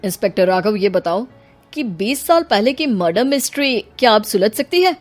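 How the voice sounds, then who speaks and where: A woman playing a calm, authoritative senior police officer in an acted story. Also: medium pitch, normal speed, soft background music, natural voice. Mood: calm, official. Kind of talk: acted Hindi audio story dialogue.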